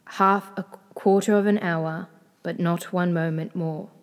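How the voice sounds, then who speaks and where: A woman's voice: fairly low pitch, steady tone, measured pace. A woman voicing a merciless, murderous husband in a storybook fairy-tale reading. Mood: cold, merciless. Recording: long hall-like reverb.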